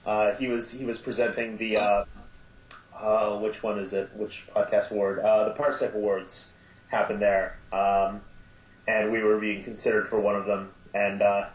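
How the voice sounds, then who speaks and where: A man chatting as a podcast guest. He talks haltingly, with many fillers, and livens up when he retells a story. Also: lowish voice, little pitch movement. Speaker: guest, audio drama producer. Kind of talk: casual podcast chat. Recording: roomy, dull sound.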